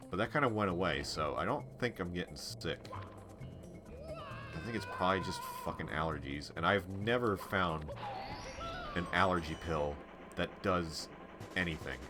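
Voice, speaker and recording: A man streaming a game, chatting casually with a stuffy, congested nasal voice and a rambling, relaxed way of talking. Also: sits low. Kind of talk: casual chat while playing a game on a live stream.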